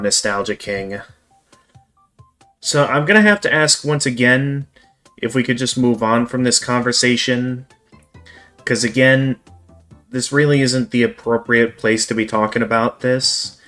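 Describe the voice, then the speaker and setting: A man hosting a game livestream, talking in a measured way with many "like"s. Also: mid-range voice, a bit bright. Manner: measured, lots of "like" and "again". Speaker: streamer host. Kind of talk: casual livestream chat.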